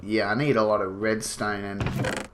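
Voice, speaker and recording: A man's voice: on the low side, level tone, measured pace, noisy background. A man with a slight cold, sniffling as he gives casual, rambling gameplay commentary full of fillers. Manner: rambling, explains as he goes, many fillers. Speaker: gaming channel host.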